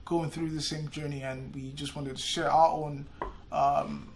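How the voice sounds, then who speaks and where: Gravelly Nigerian-born man, podcast host. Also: fairly high pitch, a little slow, slight background noise, rough recording. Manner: relaxed, rambling with restarts. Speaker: podcast host, medical resident. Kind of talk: casual chat. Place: small office indoors. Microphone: desk microphone.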